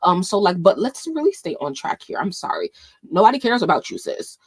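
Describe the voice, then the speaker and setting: A woman's voice: mid-range voice, very animated, brisk, vocal fry, breathy edge, no room sound. Rambling New York woman with Caribbean lilt. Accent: New York with a Jamaican-sounding Caribbean lilt. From New York, USA. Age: late 20s. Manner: rambling, self-interrupting, laughs often. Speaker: vlogger. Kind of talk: casual commentary chat.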